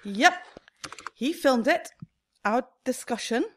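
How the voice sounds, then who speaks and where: A woman's voice: high-pitched, lively intonation, slow, fairly bright tone, slight background noise, full character voice. A woman acting in a radio play as a stressed, despairing shop owner, weary, clipped and self-pitying. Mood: bitter, deflated.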